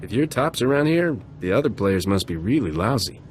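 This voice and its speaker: Low-voiced cocky smuggler captain. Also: male voice, big pitch swings, brisk, faint music behind. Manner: brash, threatening, dry wisecracks. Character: cocky, tough smuggler captain, roguish and vengeful. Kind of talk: video game voice acting.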